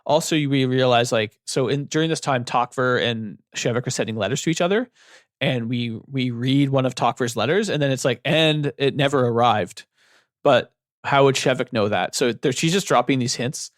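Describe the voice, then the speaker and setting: Young man, relaxed book-talk host; close dry mic, loose rambling delivery. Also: medium pitch, moderate pace, no echo. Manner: relaxed, rambling with many fillers. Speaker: book club podcast co-host. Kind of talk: casual book discussion.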